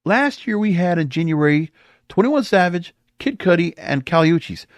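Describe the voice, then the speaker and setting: Animated, higher-voiced man hosting a podcast. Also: normal speed, no echo. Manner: emphatic, rambling, repeats himself. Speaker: podcast host. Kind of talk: opinionated solo podcast commentary.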